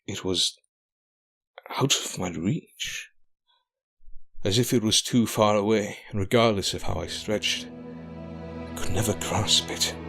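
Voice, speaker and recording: A man playing a weary, dignified older king and gentle father in an audio drama, formal and measured. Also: on the low side, gravelly, breathy edge, soft background music, full character voice. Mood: weary, shaken.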